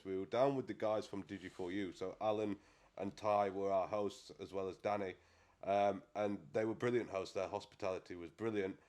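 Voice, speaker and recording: A British man hosting a casual solo podcast, hoarse and nasal from a cold, chatting in a rambling, self-deprecating way. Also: low-pitched, a little mellow. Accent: British English. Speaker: podcast host.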